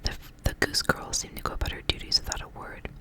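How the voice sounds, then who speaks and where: A woman reading a story aloud in a hushed ASMR whisper from start to end. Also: quick pace. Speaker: ASMR reader.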